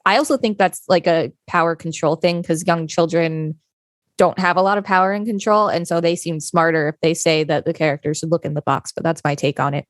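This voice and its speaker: A woman's voice: lowish voice, fairly even intonation, brisk, no echo. A woman hosting a podcast and reading an encyclopedia article aloud. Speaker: podcast host.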